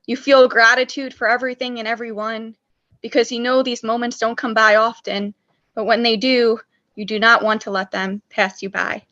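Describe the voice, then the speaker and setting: A woman recites spoken word poetry over a video call in a measured, earnest way. Her voice is bright and sits a little high. The call audio sounds dull and muffled. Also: little pitch movement. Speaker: open mic poet.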